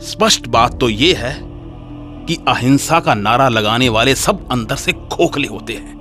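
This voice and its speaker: A man's voice: medium pitch, animated, measured pace, a bit bright, faint music behind. A man playing a defiant, bitter young student in a radio drama, speaking emphatically and argumentatively. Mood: scornful, insistent. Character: defiant, hot-headed young male student, wounded and bitter.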